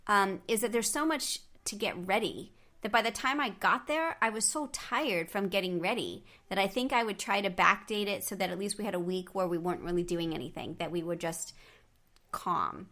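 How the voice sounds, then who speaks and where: A woman's voice: mid-range voice, normal speed, sharp tone. Woman host, casual and rambling, lots of "yeah" backchannels. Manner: rambling, restarts often, frequent agreeing backchannels. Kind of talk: casual chat.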